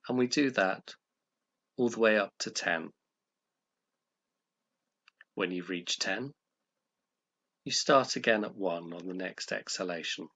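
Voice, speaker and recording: A man leading a guided meditation, speaking in a calm, gentle, measured way with pauses between phrases. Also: low-pitched.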